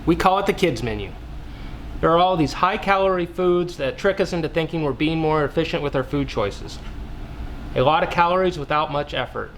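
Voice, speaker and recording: A man's voice: a little high, fairly quick, slight background noise, lightly acted, low-quality recording. A man playing an earnest researcher, speaking in a didactic way as in a scripted interview drama. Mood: earnest, instructive. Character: earnest widowed male researcher preaching plant-based eating. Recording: music playing behind the voice.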